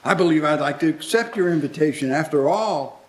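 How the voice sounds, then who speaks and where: A man acts an old miser in a live radio play. The voice sits a little high, with halting, stammered starts and formal, old-fashioned wording. The character is newly humbled and eager. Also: animated, lightly acted. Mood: hopeful, sheepish. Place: live community theatre stage.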